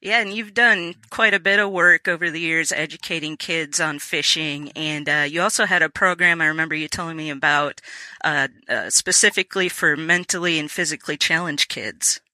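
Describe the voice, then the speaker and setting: A man hosting a podcast interview, talking in a relaxed, conversational way. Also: a little high, normal speed, dry recording. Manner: relaxed, conversational, frequent agreeing fillers. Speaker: podcast host.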